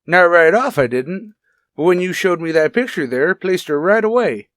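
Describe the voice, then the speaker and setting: A man playing a defensive, fast-talking union official with hedging, repetitive patter in a radio detective drama. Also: high-pitched, lively intonation, fairly bright tone, dry recording, studio quality. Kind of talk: old-time radio detective drama acting.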